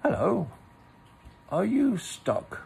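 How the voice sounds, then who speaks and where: A man's voice: high-pitched, very animated, very slow, vocal fry, a little mellow, a little hiss or hum, pitched above the performer's own voice, heavily acted. A man voicing a polite, fussy, evasive storybook rabbit with a prim manner that can turn stern. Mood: matter-of-fact. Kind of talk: storybook character reading.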